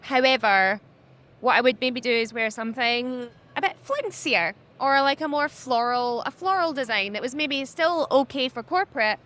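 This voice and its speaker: A young woman with a high-pitched voice, talking candidly as a podcast guest, animated and laughing often. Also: moderate pace. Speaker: podcast guest, social media business owner. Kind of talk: candid conversational interview.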